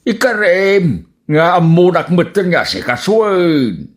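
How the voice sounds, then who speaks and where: Man acting a slow, whiny, swooping Ilocano husband. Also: sits high, big pitch swings, exaggerated voice. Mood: sulky. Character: jealous, whiny, stammering husband in comedy. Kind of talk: Ilocano radio comedy drama acting.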